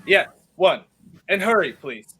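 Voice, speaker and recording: Guy acting charming young man on the run, old radio play style, very animated and urgent. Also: high-pitched, takes it slowly, slight background noise, full character voice. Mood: hurried, polite. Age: 20s.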